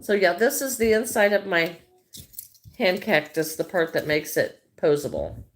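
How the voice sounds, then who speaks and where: A woman's voice: on the low side, lively intonation, a little slow. A middle-aged Midwestern American woman chatting casually on a crochet livestream, greeting viewers by name and thinking aloud as she works.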